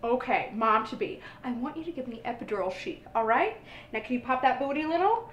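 A woman's voice: on the high side, lively intonation, reverberant, a little hiss or hum, lightly acted, rough recording. Woman playing a bossy, pretentious photographer. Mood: bossy, fussy. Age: young adult. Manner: brisk, demanding, directing. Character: pretentious, bossy female delivery-room photographer.

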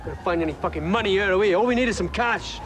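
A man's voice: sits high, rapid-fire, close to an everyday voice. An actor playing a taunting young man who relentlessly provokes his friend with sarcastic, swearing jabs. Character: sneering young British man, provoking and cruel. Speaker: friend goading the other man. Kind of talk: film drama acting.

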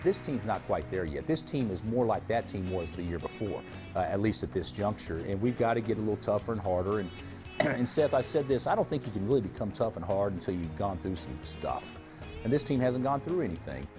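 A man's voice: lowish voice, animated, brisk, music in the background. A man in his forties, a college basketball coach, answering TV interview questions frankly, often restarting and repeating words. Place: studio remote booth.

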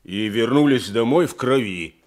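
A stern village elder in a radio drama, played by a man. His voice is medium in pitch, firm and defensive. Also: animated, normal speed, a little mellow, close to an everyday voice. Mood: formal. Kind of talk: Soviet radio play acting. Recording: 1980s radio drama recording.

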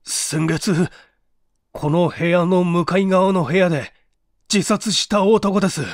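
A man's voice: high-pitched, steady tone, slow, no room sound, clean studio sound, pitched above the performer's own voice. A man voicing a frightened, flustered witness with stammering, breathless exclamations in a mystery audiobook. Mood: terrified. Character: frightened, flustered man who found a corpse. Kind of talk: dramatized mystery audiobook reading.

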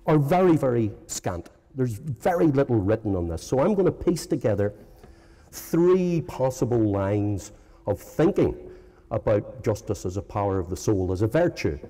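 A man's voice: medium pitch, warm tone. A middle-aged man with a strong Northern Irish accent, giving a conference lecture at a lectern with many ums and uhs. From Northern Ireland. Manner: hesitant, many ums and uhs, self-correcting. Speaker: philosophy professor giving a lecture. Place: large conference hall. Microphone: lectern microphone. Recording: long hall reverb.

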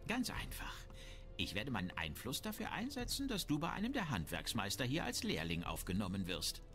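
A man's voice: medium pitch, wildly swooping pitch, brisk, fairly bright tone. A man playing a shrewd, grumbling, self-important town merchant in a fantasy video game. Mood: businesslike. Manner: haggling, disdainful, self-important. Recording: music behind the voice.

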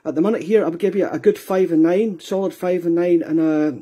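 A Scottish man makes contact as an amateur radio operator. His voice is high for a man, very soft and dark, with a husky edge. He is polite and slightly hesitant, using procedural radio talk. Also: fairly quick. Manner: courteous, hesitant, spells out call signs. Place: indoor radio room.

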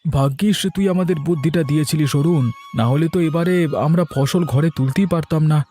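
Man playing a mellow, quick village farmer uncle. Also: fairly high pitch, faint music behind, close to an everyday voice. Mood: grumbling. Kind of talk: educational cartoon dialogue.

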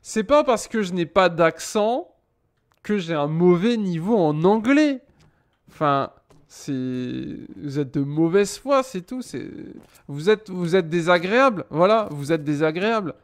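A man's voice: sits high, expressive, a little slow. A man in his thirties from France, joking with chat while playing a game at a desk mic, teasing and mock-indignant. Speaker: gaming streamer. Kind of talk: casual Let's Play commentary. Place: small room at home.

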